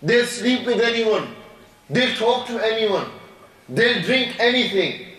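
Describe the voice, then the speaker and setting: A man's voice: sits high, lively intonation, slow, pushed and bright, distant mic. A man, an imam giving a sermon, preaching with repetition and rhetorical questions. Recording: echoey room from afar.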